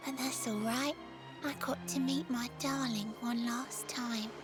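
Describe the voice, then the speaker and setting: Game role: lovelorn mermaid played by a woman, very high voice, wistful theatrical verse over clear music. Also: fairly even intonation, lightly acted. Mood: wistful, tender. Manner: theatrical, lilting, measured, sighing. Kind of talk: video game voice acting in rhymed verse.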